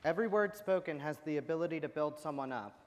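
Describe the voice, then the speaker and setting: Man declaiming over a loud crowd. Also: fairly high pitch, animated, fast, far from the mic. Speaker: student performer. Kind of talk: scripted readers theater declamation. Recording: reverberant hall, loud crowd.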